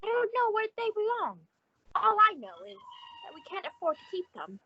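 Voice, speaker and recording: Actress as good-natured busybody landlady, old radio sitcom, animated high female voice, dry dull recording. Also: moderate pace, no room sound, full character voice. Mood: chatty. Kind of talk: old-time radio comedy acting. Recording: dull, muffled audio.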